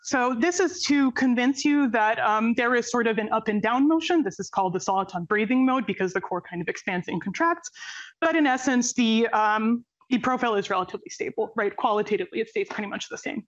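A woman's voice: on the high side, brisk, dull audio. A young woman from Serbia, a postdoc researcher, giving an academic presentation with a nerdy, playful manner and many fillers and self-corrections. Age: young adult.

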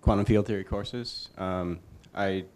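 Very deep-voiced halting man. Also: steady tone, darkish tone, slight background noise. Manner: halting, many fillers. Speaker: physics fellow.

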